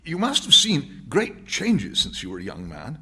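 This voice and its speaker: A man voicing a courteous, tentative character who asks gentle questions in a story reading. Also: mid-range voice, huge pitch swings, a little slow, pitched above the performer's own voice, full character voice. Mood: polite, tentative. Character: polite, tentative Englishman questioning an old man.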